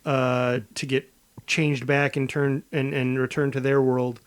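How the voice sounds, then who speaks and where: A man's voice: medium pitch, level tone, measured pace, smooth. A man co-hosting a comedy podcast, thinking aloud tentatively in casual, joking brainstorming. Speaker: podcast co-host.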